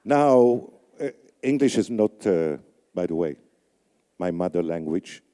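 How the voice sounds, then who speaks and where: An older man, raised on Yiddish, speaks slowly into a microphone on a conference stage, picking his words with small pauses. His voice is low and very dark, and it rings out in a large reverberant hall. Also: expressive, clear, resonant tone. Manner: deliberate, halting with fillers. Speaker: radio announcer hosting a conference. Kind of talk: emcee remarks on stage.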